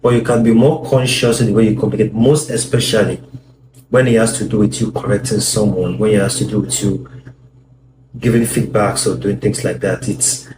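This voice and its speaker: A man's voice: on the low side, steady tone, brisk. A man talking earnestly with his wife about communication in marriage, often repeating words as he explains. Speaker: co-host, husband. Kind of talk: casual talk on marriage advice. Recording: echoey room with background noise.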